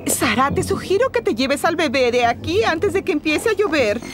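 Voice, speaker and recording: Gushing, high-pitched woman shopkeeper. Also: sing-song, normal speed. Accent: Latin American Spanish. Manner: gushing, exclamatory. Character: gushing, dramatic middle-aged female shopkeeper. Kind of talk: animated children's show dub.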